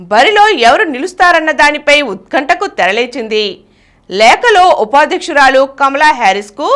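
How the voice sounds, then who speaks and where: A woman news reader with a very high voice. Her pitch moves in lively swings as she delivers scripted bulletins in a formal announcing style.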